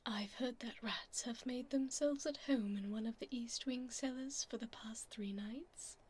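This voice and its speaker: Woman voicing a slow, whispery regal vampire duchess. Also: a little high, a little mellow, husky. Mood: weary, lofty. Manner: formal, aristocratic, unhurried. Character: regal, haughty but tender female vampire duchess. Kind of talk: ASMR roleplay, soft-spoken and whispered.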